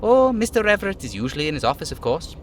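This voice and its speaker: A man's voice: on the high side, big pitch swings, fast talker, a little hiss or hum, voice pushed up. A man voicing a simple, friendly little dock worker from a video game, chattering in a naive, folksy way. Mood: eager, friendly.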